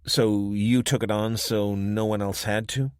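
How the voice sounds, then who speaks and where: Cautious ex-detective, man, low and measured, read by the narrator. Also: steady tone, clean tone, no echo, clean studio sound, lightly acted. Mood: guarded.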